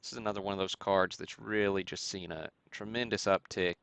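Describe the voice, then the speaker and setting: A man hosting a live stream, giving matter-of-fact commentary in a halting way with pauses. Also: low voice, fairly even intonation, vocal fry, a bit bright, clean tone, no room sound. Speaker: host of a sports card break stream. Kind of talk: live show-and-tell commentary on vintage cards.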